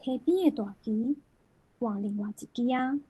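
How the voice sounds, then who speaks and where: A woman with a Taiwanese accent recites literature expressively. She takes it very slowly, in a fairly high voice with a mellow, husky grain. The sound is close and dry. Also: dry recording, low-quality recording. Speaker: poetry reader.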